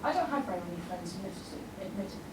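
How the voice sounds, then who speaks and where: A woman's voice: fairly low pitch, animated, rapid-fire, recorded from a distance, very noisy, lightly acted. Woman voicing a flustered maiden pony, echoey room. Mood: wistful. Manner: gushing, easily flustered, protective. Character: flustered, friendly young maiden pony of a castle.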